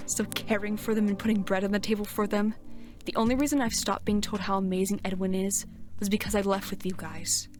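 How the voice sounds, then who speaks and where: A woman's voice: medium pitch, close to an everyday voice. A woman playing a brash, resentful eighteen-year-old knight in a fantasy audio drama, talking bluntly and sarcastically. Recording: music behind the voice.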